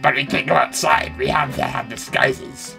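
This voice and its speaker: A woman's voice: rumbling low, fairly even intonation, moderate pace, gravelly, music in the background, heavily acted. A woman voicing a scheming but placating male cartoon villain's sidekick, muttering and hesitant. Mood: plotting.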